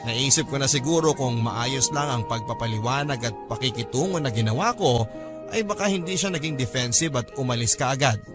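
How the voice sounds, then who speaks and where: A male radio storyteller reads a personal letter in a classic Filipino drama style. His mid-pitched voice is polite and measured. Music plays clearly behind him. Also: expressive.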